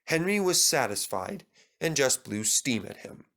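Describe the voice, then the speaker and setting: A man's voice: mid-range voice, big pitch swings, measured pace, fairly bright tone. A man reading a children's storybook aloud as scripted narration. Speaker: storybook reader.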